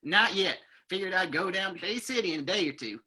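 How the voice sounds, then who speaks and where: Performer as a husky, boisterous male prospector. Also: lowish voice, lively intonation, fairly quick, sharp tone, full character voice. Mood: flirtatious, jovial. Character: boisterous, flirtatious male gold prospector named George. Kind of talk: old-time radio drama reading.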